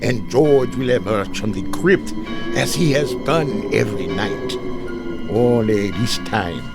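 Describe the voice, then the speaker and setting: Man playing a slow, creaky-voiced scheming doctor. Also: lowish voice, over loud music. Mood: sinister, solemn. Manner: theatrical, melodramatic. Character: scheming crooked male doctor with a sinister calm. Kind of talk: old-time radio drama acting.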